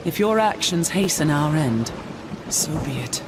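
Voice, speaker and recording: An actress playing a weary but resolute angel warrior commander in a video game, speaking in a formal, measured, stoic way. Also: female voice, deep voice, expressive, very noisy. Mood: grave, resigned. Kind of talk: video game cutscene acting.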